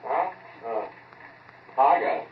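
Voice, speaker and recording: An actor playing a gruff, impatient scientist who speaks in terse, offhand lines in a 1940s radio drama. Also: male voice, medium pitch, sing-song, drawn-out words, full character voice. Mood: brusque, distracted. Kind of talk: 1940s radio acting, naturalistic dialogue. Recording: echoey, muffled, faintly noisy 1940s radio recording.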